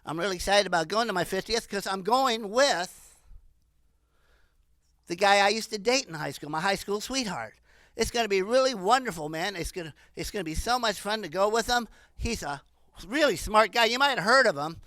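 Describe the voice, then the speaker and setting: Animated woman in her sixties doing stand-up. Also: medium pitch, moderate pace, no room sound. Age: 60s. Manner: animated, rambling. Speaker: stand-up comedian. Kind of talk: stand-up comedy monologue.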